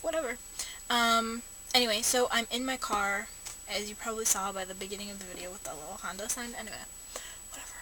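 A young woman rambling and joking about herself in a casual vlog, recorded from a parked car on a camera held low at arm's length. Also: steady tone, fast talker, sharp tone. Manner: chatty, self-mocking, many fillers. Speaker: vlogger. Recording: noisy home camera recording.

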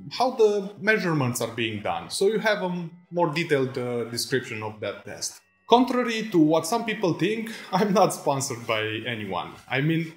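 Romanian-accented man vlogging on a phone mic. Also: a little high. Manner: chatty, laughs often, self-deprecating asides. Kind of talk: casual vlog to camera. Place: indoor workshop with tools and shelves. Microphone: phone camera mic. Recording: echoey room on a phone mic, faint music.

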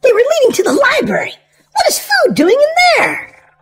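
Very high, animated children's storybook reader, woman. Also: moderate pace, faint music behind. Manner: exaggerated, sing-song, expressive delivery for small children. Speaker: host and reader of a children's read-aloud show.

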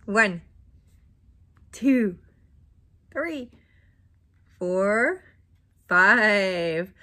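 Slow, animated woman reading to children. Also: fairly high pitch. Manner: exaggerated, interactive, with questions and pauses. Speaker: children's storybook reader. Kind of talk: expressive read-aloud for young children. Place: small indoor room at home.

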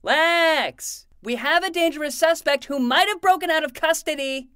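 A woman's voice: high-pitched, moderate pace, fairly bright tone, no room sound, clean studio sound. A woman voicing an earnest, bossy twelve-year-old boy who talks like a melodramatic hard-boiled detective in a fiction podcast. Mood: urgent, bossy.

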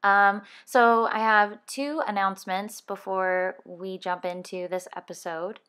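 A woman in her thirties hosting a podcast, speaking at an unhurried pace with an everyday mid pitch. She rambles in a chatty way and corrects herself with a laugh. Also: steady tone, a bit bright, clear, resonant tone. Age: 30s. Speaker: podcast co-host. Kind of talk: casual podcast chat.

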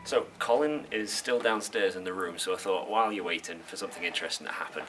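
A man in his thirties giving a casual, mock-earnest tour to camera in a deadpan manner. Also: low voice, fast, music in the background. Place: indoors in an old hall. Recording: clearly noisy room ambience.

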